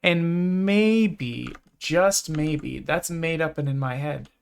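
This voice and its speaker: A man streaming a video game, stuffy-nosed and chatting in a relaxed, rambling, self-deprecating way. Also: fairly high pitch, slow, creaky, clean tone, soft background music. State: stuffy, congested nose. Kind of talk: casual live-stream chat while gaming.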